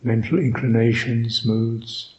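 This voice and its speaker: A man guiding a meditation as a Buddhist teacher, speaking reflectively in short, halting phrases with long pauses. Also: medium pitch, level tone, lo-fi sound. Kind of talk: guided meditation, unscripted and reflective.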